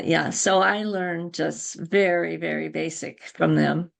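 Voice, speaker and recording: A woman's voice: on the low side, creaky, a bit bright. An older woman casually recalling her experiences as a guest in an interview. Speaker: interview guest, crochet guild founder.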